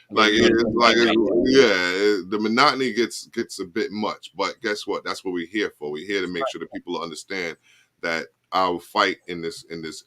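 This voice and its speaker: A man in his forties with a very low, deep voice. He co-hosts in a loose, halting way, circling back on his words and checking in with listeners as he goes. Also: a little hiss or hum. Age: 40s. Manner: relaxed, halting, repeats "you know what I mean". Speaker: co-host. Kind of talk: casual radio talk show.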